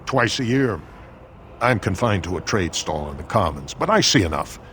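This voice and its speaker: A deep-voiced dwarf merchant from a fantasy video game. He is proud, world-weary and formal, with a faint ambience around him. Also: big pitch swings, normal speed, a bit bright, a little hiss or hum. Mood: matter-of-fact. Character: proud, bitter surface dwarf merchant, adult male. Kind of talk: scripted fantasy game dialogue.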